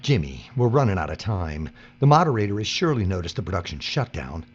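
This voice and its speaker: A weary, desperate worker acted by a man in a grim radio play, hatred simmering under his intense delivery. His lowish voice swings widely in pitch, on a muffled recording with faint hum. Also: big pitch swings, fast. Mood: tense, submissive. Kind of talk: audio drama acting.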